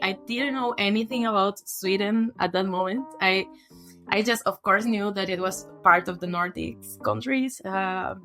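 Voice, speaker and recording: A woman's voice: mid-range voice, a bit bright. A young woman from Peru, a podcast guest who tells her story in a chatty way, with many fillers. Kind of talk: conversational storytelling.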